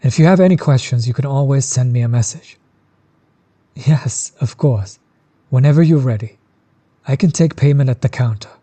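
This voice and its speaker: Man playing a mellow, laid-back tattoo artist. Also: medium pitch, moderate pace, pauses often, natural voice. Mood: friendly, polite. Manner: relaxed, supportive, explains gently. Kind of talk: audio roleplay, talking to the listener.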